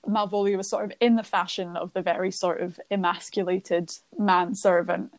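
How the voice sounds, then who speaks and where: Gravelly woman chatting about theatre. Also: on the low side, level tone, normal speed, dry recording. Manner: thinks aloud with many fillers and restarts. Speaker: podcast co-host. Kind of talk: casual chat about theatre.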